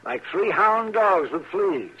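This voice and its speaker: Crusty, lively western doctor. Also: male voice, sits high, slow, full character voice. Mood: amused. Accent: American. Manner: chuckling, needling, folksy. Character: crusty, chuckling, teasing male frontier town doctor. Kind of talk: old-time radio western acting. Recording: muffled, hissy old radio recording.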